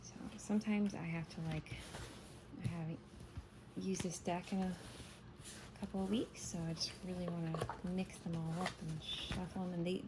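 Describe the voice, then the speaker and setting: Low-voiced woman, scratchy morning voice. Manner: chatty, meandering, soft-spoken. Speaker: tarot reader. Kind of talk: casual morning tarot reading.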